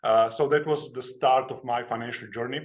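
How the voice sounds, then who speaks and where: A man's voice: mid-range voice, steady tone, a little fast. A man from Slovenia, a guest telling his story in an interview, plain and earnest, with many hesitations. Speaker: guest, author and investing educator.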